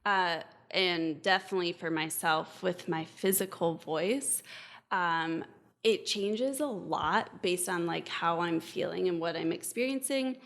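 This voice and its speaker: Upbeat woman life coach, low-pitched. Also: a bit bright. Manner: upbeat, reflective, many "like" and "um" fillers. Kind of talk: casual podcast conversation.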